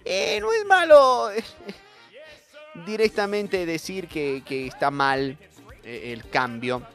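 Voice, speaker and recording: High-pitched animated young radio comic. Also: male voice, slow. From Bolivia. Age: young adult. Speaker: radio host, comic monologist. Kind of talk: comedic radio monologue. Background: loud music bed.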